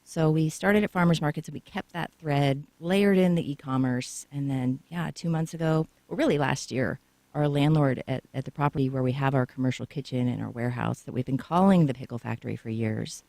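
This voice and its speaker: A woman's voice: very deep voice, a little fast, gravelly, dark tone, dry recording. Talkative woman guest in dry studio. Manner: talkative, lengthy storytelling answers. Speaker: co-founder guest. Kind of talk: radio interview answers. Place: radio studio.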